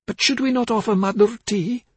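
Guy doing old confused mum in an audiobook, high husky voice, rambly n fretful, kinda pleading. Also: moderate pace, no echo. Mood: plaintive. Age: older. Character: frail, confused elderly mother, pleading and rambling.

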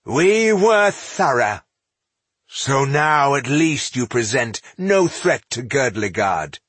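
A man's voice: a little high, expressive, unhurried, a bit bright, breathy edge, no room sound, pitched above the performer's own voice, full character voice. A man voicing a proud, callous male dwarf warlord who styles himself king, speaking dismissively in a fantasy audiobook. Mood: bored contempt. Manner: haughty, dismissive.

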